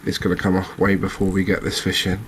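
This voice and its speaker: A man giving relaxed, understated ASMR-style commentary as host of a gaming channel. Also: low-pitched, fast, a little hiss or hum.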